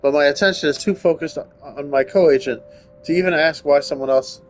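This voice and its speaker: A man's voice: fairly high pitch, fairly quick, slight background noise. A man hosting a gaming video, thinking aloud informally and reading the story text out as he plays. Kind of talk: casual game commentary mixed with read-aloud narration.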